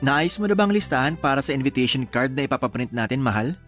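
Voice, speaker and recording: A relaxed, agreeable fiancé, voiced by a man in a radio drama, his pitch mid-range. Faint music plays under the scene. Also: fast talker, natural voice. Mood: easygoing. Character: easygoing groom-to-be, accommodating fiancé.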